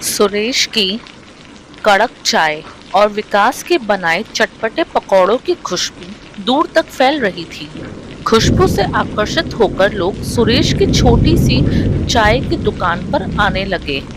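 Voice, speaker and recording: A woman's voice: mid-range voice, expressive, slow pace. A woman reading scripted narration for a moral storybook tale. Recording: rain, thunder and vehicle noise behind.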